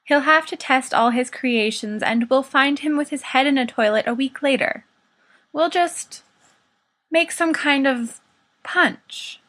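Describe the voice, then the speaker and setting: A bossy teenage lad, voiced by a woman narrator in an audiobook. He is brisk, blunt and teasing, with a high, bright tone. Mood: brisk, planning. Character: bossy, teasing, tipsy teenage boy, ringleader. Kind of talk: fan fiction audiobook character.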